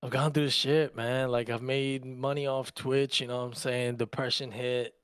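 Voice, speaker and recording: A man's voice: mid-range voice, level tone, normal speed, a bit bright, clear, resonant tone, dry recording. Young man in dry, casual podcast chat. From Argentina, raised in Louisiana, USA. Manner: slangy, frequent swearing. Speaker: podcast co-host and content creator.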